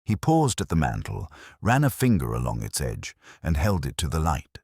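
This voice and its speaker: A male narrator with an extremely low voice reads a period detective tale. His delivery is formal and measured yet expressive in pitch, and the recording is dry and close. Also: slightly rough, no room sound. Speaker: audiobook narrator.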